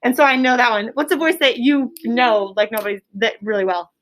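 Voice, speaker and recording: High, bright woman teacher. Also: brisk. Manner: animated, encouraging, prompting. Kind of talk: classroom discussion leading. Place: classroom.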